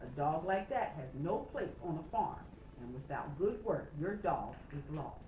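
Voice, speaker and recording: A woman voicing a stern but caring old Scottish sheepdog trainer who gives measured, emphatic instruction. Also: sits low, big pitch swings, warm tone, pitched below the performer's own voice. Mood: instructive, earnest. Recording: roomy, slightly noisy, dull home recording.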